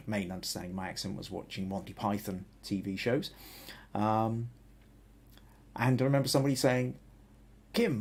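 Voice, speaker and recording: A man's voice: fairly low pitch, gravelly, slightly rough. A middle-aged man with a Southern English accent, hosting a photography podcast and thinking aloud with restarts as he critiques photos. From England. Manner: thoughtful, rambling with restarts and fillers. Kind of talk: live photo critique and chat.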